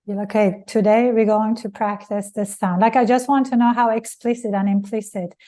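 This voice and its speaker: A woman whose first language is Persian asks a question at a lecture, in a thoughtful, slightly halting way. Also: mid-range voice, steady tone, dark tone. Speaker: audience questioner.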